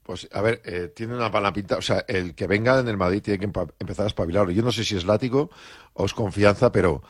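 A man hosting a radio show, emphatic and energetic, reading an ad live. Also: fairly low pitch, quick pace, breathy edge, dry recording, clean studio sound. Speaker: radio host.

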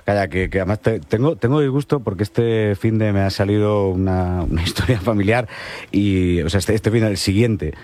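A man's voice: lowish voice, moderate pace, darkish tone, no room sound. A man from Galicia hosting a casual radio interview, chatty and laughing along with his guest. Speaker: radio host.

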